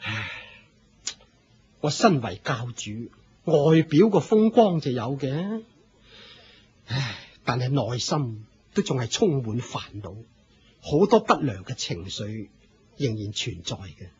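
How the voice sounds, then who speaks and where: A man's voice: medium pitch, big pitch swings, slow pace, long pauses, a little mellow, breathy edge, heavily acted. A man with a Hong Kong Cantonese accent playing an aging religious leader, proud yet humble, in a theatrical Buddhist radio drama. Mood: humble, earnest. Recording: muffled lo-fi radio drama recording.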